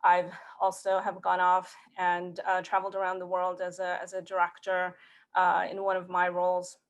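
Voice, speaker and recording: A woman who grew up in Mexico and speaks Spanish as her first language gives a conference talk in her thirties. Her delivery stays level and even in pitch, and she keeps restarting her phrases with small fillers as she goes. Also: moderate pace. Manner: earnest, hesitant, many fillers and restarts. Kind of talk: prepared career talk.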